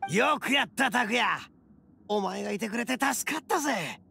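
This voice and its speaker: An actor playing a brash, loyal, hot-blooded oni gang boss in a Japanese anime game, rough, cocky and gravelly. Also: male voice, extremely high pitch, lively intonation, moderate pace, sharp tone, heavily acted. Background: game music.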